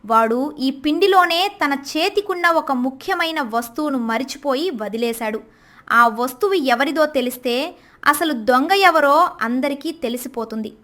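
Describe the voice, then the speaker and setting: A woman voicing a clever, bold young village wife in an animated story. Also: high voice, normal speed. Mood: confident. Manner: confident, clear explaining.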